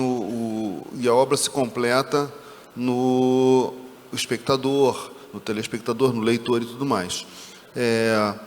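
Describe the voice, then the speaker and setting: Man on a panel, measured and halting, reverberant hall. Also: mid-range voice. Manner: deliberate, hesitant openings, repeats words before making a point. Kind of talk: panel discussion. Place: conference stage.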